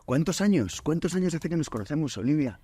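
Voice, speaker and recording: A man from Spain hosting a podcast interview in a studio, talking in a relaxed, halting way with many fillers and restarts. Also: on the high side, husky, dry recording. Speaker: podcast host. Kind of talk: casual interview chat.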